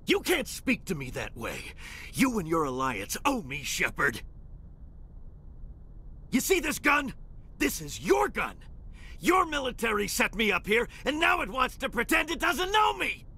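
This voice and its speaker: An actor playing a pompous, hot-tempered crime warlord who styles himself a lord, with haughty, theatrical delivery in a video game. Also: male voice, extremely high pitch, huge pitch swings, a little slow, sharp tone, slightly rough, exaggerated voice. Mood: outraged, ranting. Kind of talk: dramatic video game voice acting.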